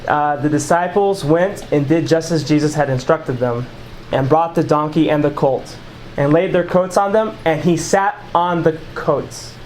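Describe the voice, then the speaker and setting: A man's voice: a little high, a little slow, a little mellow, slight background noise, low-quality recording. A man leading an informal Bible study, reading scripture passages aloud and explaining them conversationally to a small group. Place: small room with a group of listeners.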